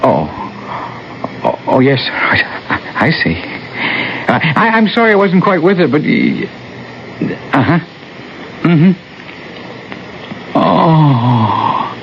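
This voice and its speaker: A meek, high-voiced, stammering ghost. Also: male voice, sing-song, slow, pauses often, heavily acted. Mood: nervous, deferential. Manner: stammering, hesitant, deferential. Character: meek, bumbling, apologetic male ghost named Peter Pruitt. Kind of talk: radio drama acting. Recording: muffled, noisy 1970s radio recording.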